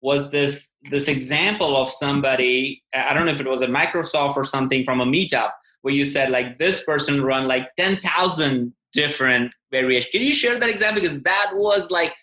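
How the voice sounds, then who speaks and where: A man's voice: mid-range voice, brisk, hardly any pauses, pushed and bright. A man hosting a casual interview, chatting informally as he sets up questions for his guest. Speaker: podcast host. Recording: echoey, roomy sound.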